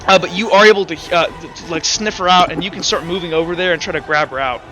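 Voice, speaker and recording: A man acting as game master in a tabletop roleplaying game, narrating off the cuff and calling for rolls in a halting, think-aloud way. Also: sits high, bright, forceful tone, soft background music, low-quality recording.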